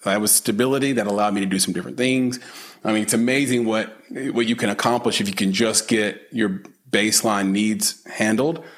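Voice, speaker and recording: A man hosting an interview, talking in a halting way with many fillers and restarts, laughing easily. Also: fairly low pitch, fairly even intonation. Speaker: podcast host, interviewer. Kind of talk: casual interview.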